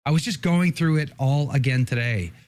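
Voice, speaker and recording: A man's voice: medium pitch, moderate pace, a little mellow. Talk-show host, man, casual, rambling with restarts. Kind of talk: casual interview chat.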